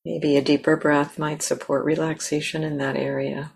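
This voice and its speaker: A woman leading a guided meditation as a teacher, speaking gently and unhurriedly with long pauses. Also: low-pitched, level tone, gravelly, slight background noise.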